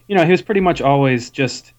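A man's voice: fairly high pitch, lively intonation. Easygoing man co-hosting a podcast. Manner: agreeable, quick to laugh along. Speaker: podcast co-host. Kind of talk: casual podcast chat.

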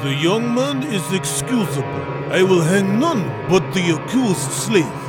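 An actor playing a majestic, pompous ruler, declaiming commandingly in an audio drama. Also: male voice, high voice, big pitch swings, a little slow. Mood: proclaiming. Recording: loud music behind the voice.

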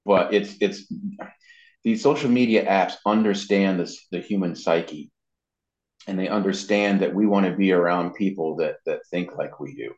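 A man's voice: deep voice, moderate pace, a little mellow. A man giving casual explanations as an interview guest, often repeating words as he goes. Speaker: interview guest, web marketing consultant. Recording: roomy echo, dull muffled sound.

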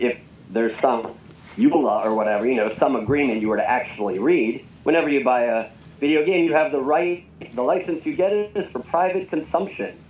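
A man being interviewed about his bar, explaining in a measured, hedging way. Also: mid-range voice, a little mellow. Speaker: bar owner and guest. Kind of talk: interview answers. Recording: muffled remote line with faint noise.

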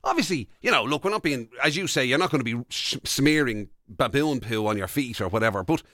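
A man's voice: medium pitch, animated, a little fast, sharp tone, no echo, studio quality. An Irish man co-hosting a radio show in casual comic chat, rambling and asking bemused questions. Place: radio studio.

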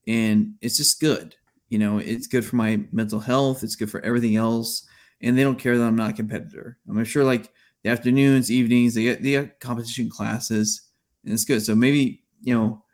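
Rambling man in his forties. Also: medium pitch. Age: 40s. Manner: rambling, lots of fillers and restarts. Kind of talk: casual chat.

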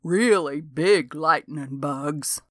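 A woman voicing a suspicious, superstitious old country man with a slow drawl, for an audiobook. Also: a little high, a bit bright, dry recording, studio quality. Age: older. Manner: rural drawl, slow and conspiratorial.

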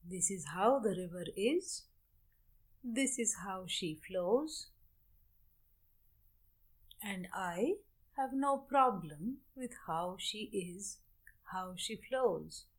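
A woman teaches a guided meditation at a very unhurried pace. Her voice sits at a medium pitch. She gives measured instructions in short phrases, leaving long pauses between them. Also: animated.

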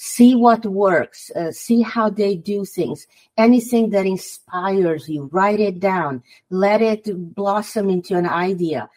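A woman's voice: medium pitch, takes it slowly, darkish tone, rough recording. A woman from Hungary with a Hungarian accent, speaking English, which is not her first language, as a guest author on a podcast interview and giving earnest advice.

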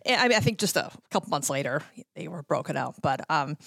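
A woman's voice: sits low, lively intonation, fast, vocal fry, fairly bright tone, slightly rough, dry recording, studio quality. A middle-aged American woman chatting casually as a podcast guest, telling stories breezily and acting out quotes. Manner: breezy storyteller who acts out quotes. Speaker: podcast guest, former radio promotions worker. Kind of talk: casual anecdotal chat.

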